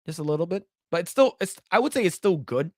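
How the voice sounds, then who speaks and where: Casual rambling young man, higher voice. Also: expressive, fast, darkish tone, no echo, clean studio sound. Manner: rambling, blunt, casual swearing. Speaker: podcast co-host. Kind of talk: casual chat.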